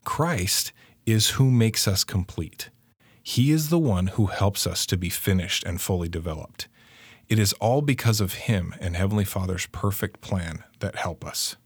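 Low, husky man teaching scripture. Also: expressive, unhurried, no room sound, clean studio sound. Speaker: co-presenter of a religious lesson.

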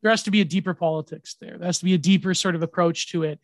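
A man's voice: sits high, fairly even intonation, rapid-fire, no room sound. A man speaking as a podcast guest in casual conversation, rambling and hesitant with many fillers and restarts.

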